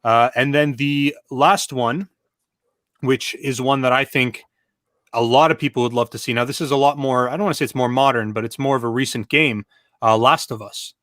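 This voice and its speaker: A man co-hosting a casual panel chat, speaking in a relaxed, thoughtful way with many fillers. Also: medium pitch, moderate pace, no room sound, clean studio sound. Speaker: co-host.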